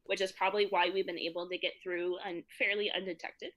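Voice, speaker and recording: A woman's voice: lowish voice, flat delivery, brisk, highs cut off. A woman from Texas running a tabletop game as game master, easygoing and thinking aloud.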